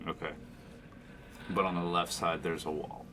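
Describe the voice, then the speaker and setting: Deep-voiced man at a noisy game table. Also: little pitch movement, moderate pace. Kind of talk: casual game-table questioning.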